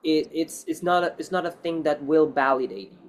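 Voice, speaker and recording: A man's voice: a little high, animated, fairly quick. A man speaking non-native English in a casual interview as an actor, rambling and repeating himself as he gives emphatic advice.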